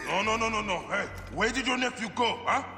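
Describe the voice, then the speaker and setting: A man's voice: high-pitched, fairly quick, natural voice. An actor playing a grim, driven bereaved father and avenger in a video game, intense and demanding. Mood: stern, pressing. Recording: long reverb, loud music behind.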